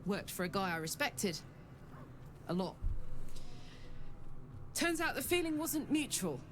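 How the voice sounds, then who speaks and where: A woman's voice: medium pitch, sing-song, measured pace, a bit bright, a little hiss or hum. An actress playing a brash, hearty, streetwise warrior woman in a video game, telling things in frank, punchy, colloquial phrases. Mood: wry, candid. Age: 30s. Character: brash, hearty thirty-year-old female warrior, streetwise and candid.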